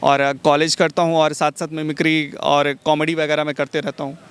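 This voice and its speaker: A young man, giving polite, measured interview answers as a mimicry artist. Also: fairly high pitch, little pitch movement, a little hiss or hum. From Jharkhand, India. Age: young adult.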